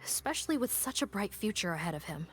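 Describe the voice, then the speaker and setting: Husky, dramatic investigator. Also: female voice, medium pitch, very animated, quick pace, a bit bright. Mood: mournful. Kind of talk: scripted video game voice acting.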